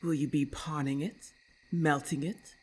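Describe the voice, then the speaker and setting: A woman voicing a haughty, predatory female lamia in ASMR roleplay, speaking in a deliberate, imperious and condescending manner. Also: low-pitched, steady tone, a little mellow, husky.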